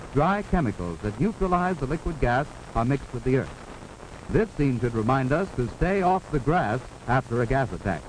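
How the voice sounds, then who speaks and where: An old, clearly noisy film soundtrack carries the voice of an American man. His pitch is average for a man. He delivers scripted 1940s instructional narration with formal authority, setting out each instruction in a measured way. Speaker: narrator of a wartime civil defense instructional film.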